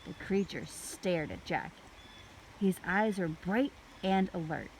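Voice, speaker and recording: A woman reading a children's book aloud in an expressive, deliberate storytelling manner. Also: on the low side, vocal fry, slight background noise, rough recording.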